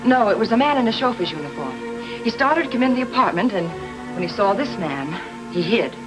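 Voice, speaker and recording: A man's voice: sits high, big pitch swings, brisk, over music, rough recording. A man playing an uneasy, watchful witness in a film noir radio drama. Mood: urgent.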